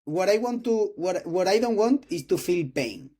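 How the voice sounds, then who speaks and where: A man in his twenties from Spain, speaking English with a Spanish accent as a non-native speaker, hosting an interview with halting restarts and frequent throat clearing. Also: high-pitched, lively intonation, warm tone. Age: 20s. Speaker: host interviewing a doctor. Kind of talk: casual interview talk.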